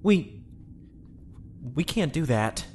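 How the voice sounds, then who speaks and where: Low-voiced weary male administrator, acted by a woman. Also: very animated, darkish tone, a little hiss or hum, exaggerated voice. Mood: weary, conflicted. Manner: halting, earnest. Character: weary male ship administrator, conflicted, apologetic bureaucrat. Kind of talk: sci-fi audio drama acting.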